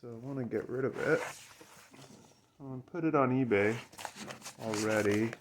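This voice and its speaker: A man's voice: medium pitch, moderate pace, noisy background. A man giving a casual, unscripted show-and-tell as a collector, hesitant and rambling, often trailing off mid-thought. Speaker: record collector and seller showing items.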